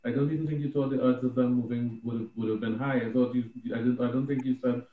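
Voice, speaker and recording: A man talks over a thin, tinny phone connection that rings with room echo. He rambles through his thoughts and laughs often as he leads a friendly prayer group. His voice sits at an ordinary pitch for a man. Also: steady tone, fast talker. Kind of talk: casual group video call chat.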